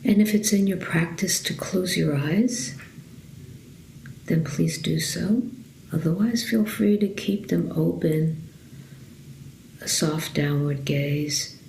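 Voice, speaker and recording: A woman meditation teacher leading a guided meditation softly and unhurriedly, with long pauses and a breathy voice that trails toward a whisper. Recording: roomy echo, loud rumbling background noise.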